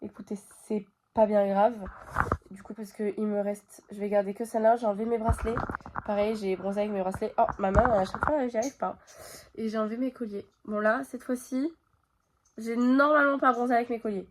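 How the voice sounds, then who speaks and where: A woman's voice: on the high side, fairly even intonation, brisk, noisy background. A woman vlogging from her bedroom at home with a stuffy nose from a cold, chatting straight to her viewers with plenty of fillers. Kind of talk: casual chat to camera.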